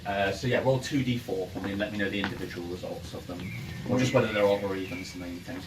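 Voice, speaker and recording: A man's voice: deep voice, fairly even intonation, fast talker. A man running a tabletop game as game master, chatting casually and narrating to the players. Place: room, in-person game session. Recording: roomy, distant mic, clear background noise.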